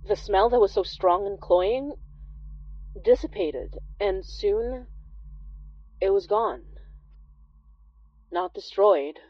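A woman playing a wry, observant immigrant healer who narrates a horror audio drama in a confiding, measured way with dramatic pauses. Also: mid-range voice, no echo, dull audio. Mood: grave, tense.

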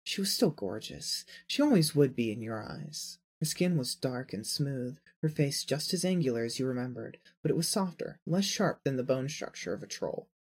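Husky-voiced narrator of a romantic story. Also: medium pitch, expressive, normal speed, few pauses. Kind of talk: scripted second-person story narration.

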